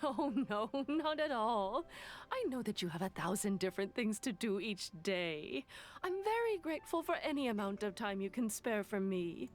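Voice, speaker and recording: An actress as a lively, eager businesswoman. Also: mid-range voice, moderate pace, soft background music, lightly acted. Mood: polite, grateful. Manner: deferential, effusive. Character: eager, polite businesswoman, easily overexcited admirer. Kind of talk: video game voice acting.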